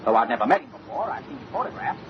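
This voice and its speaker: Male actor as polite young gentleman, bewildered, lively pitch, radio play, muffled noisy old recording. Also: racing, natural voice. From United States. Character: polite, bewildered young American man visiting his fiancée's family. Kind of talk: old-time radio drama acting.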